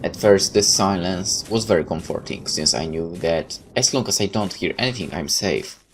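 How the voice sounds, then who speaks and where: A man's voice: deep voice, moderate pace. A man reading a visual novel aloud like a dramatic storyteller, tossing in his own asides. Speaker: let's player. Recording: clear background noise.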